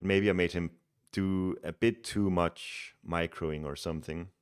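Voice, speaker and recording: A Danish-accented man with a deep voice talks loosely through a live stream, explaining strategy as he plays. He is relaxed and chatty and often restarts his words. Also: measured pace, clean tone. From Denmark. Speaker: streamer and game coach. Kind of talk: casual live-stream chat and game commentary.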